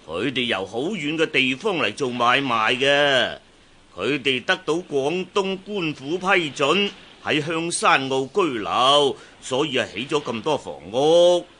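An actor playing an ageing, fussy merchant uncle in a Cantonese radio drama with a Hong Kong accent, full of sighs and interjections. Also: male voice, mid-range voice, lively intonation, unhurried, pushed and bright. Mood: explaining, fond.

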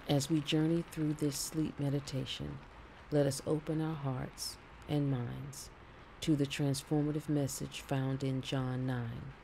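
Slow, mellow man guiding sleep meditation. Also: mid-range voice, gravelly, slight background noise, low-quality recording. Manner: soothing, gentle, deliberate phrasing. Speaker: meditation narrator.

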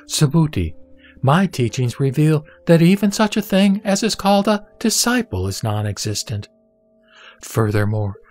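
A man playing the Buddha as a serene teacher, reciting scripture in a measured, didactic, emphatic way. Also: fairly low pitch, darkish tone, natural voice. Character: the Buddha, serene male spiritual teacher instructing a disciple. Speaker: sutra audio drama reader.

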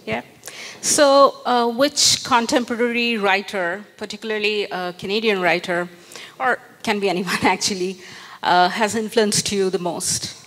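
A woman moderator poses long, meandering questions, full of fillers and soft laughs. She speaks into a handheld mic. Her voice trails a long reverb through a large hall. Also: mid-range voice, a little slow. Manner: many fillers, laughs softly. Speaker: panel moderator. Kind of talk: hesitant, rambling questions. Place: large event hall with an audience.

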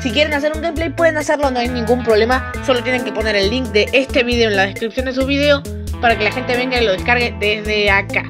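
A woman's voice: fairly high pitch, fast, music in the background. A woman giving casual announcements to viewers as a channel creator.

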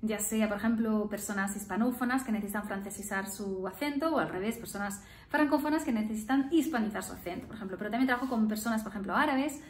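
A woman's voice: mid-range voice, fast, few pauses, reverberant. A woman in her thirties, a speech therapist, talking casually to camera with many fillers and tag questions. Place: room with painted geometric wall, indoors. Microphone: camera in front, upper body framing.

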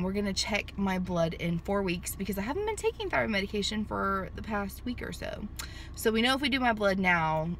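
A woman's voice: medium pitch, a bit bright, slight background noise. A woman in her thirties chatting to a phone camera from a parked car, rambling with lots of fillers and restarts. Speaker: vlogger. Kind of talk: casual chat to camera. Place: parked car in a sunny lot. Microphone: handheld phone at arm's length.

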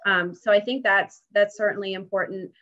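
A woman's voice: mid-range voice, steady tone, moderate pace, fairly bright tone. American woman on a dull-sounding video call. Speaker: panelist, mediator. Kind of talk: panel discussion, explaining. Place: indoors, home dining room. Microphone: video call computer microphone.

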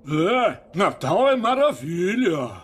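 A man's voice: sits high, sing-song, slow, breathy edge, heavily acted. A man voicing a goofy, hungry cartoon Great Dane with slurred, dog-like speech. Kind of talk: cartoon dub.

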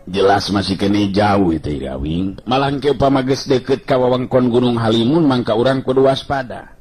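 A man voicing a calm, knowing guide in a radio story, explaining things seriously and cautiously in a measured way. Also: medium pitch, slightly rough, soft background music, lightly acted. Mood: cautionary. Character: calm, knowledgeable male guide, earnest and cautionary.

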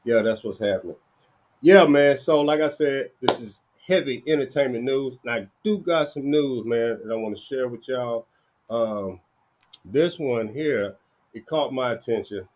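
A man's voice: medium pitch, animated, measured pace, a little mellow, slight background noise. A middle-aged man from the Dallas area hosting an entertainment news channel, chatting casually and tagging "man" and "you know what I'm saying" onto everything. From Dallas–Fort Worth area, Texas. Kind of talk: casual news chat to viewers. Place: small room indoors. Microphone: webcam with headphones on.

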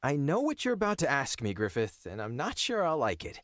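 A man's voice: medium pitch, huge pitch swings, fairly quick, a bit bright, no echo, clean studio sound. A young man voicing a wry, exasperated, earnest young lord in a fantasy game, with an incredulous manner. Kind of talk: fantasy game character acting.

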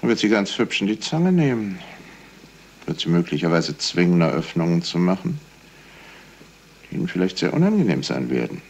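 An actor playing a middle-aged investigator in a 1970s radio crime play, courteous, measured and insistently probing. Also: male voice, deep voice, huge pitch swings, vocal fry, darkish tone, a little hiss or hum, full character voice. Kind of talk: 1970s German radio crime drama acting.

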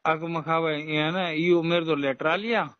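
A man with a Haryanvi accent talks on a recorded phone call, his voice a little high. He sounds like an official, insistent and indirect. The sound is dry and somewhat dull. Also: steady tone, measured pace, no echo. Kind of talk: casual phone conversation.

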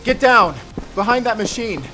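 A man's voice: extremely high pitch, animated, normal speed. Man acting suspicious, urgent fugitive fighter. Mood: urgent, alarmed. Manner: questioning, urgent. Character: wary, suspicious fighter leading an escape, protective. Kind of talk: audio roleplay acting. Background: music.

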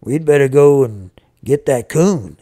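A narrator voicing a calm, steady farm father in a storybook, measured and gently authoritative. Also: medium pitch, dry recording, clean studio sound, close to an everyday voice. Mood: calm, reassuring. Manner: measured, reassuring.

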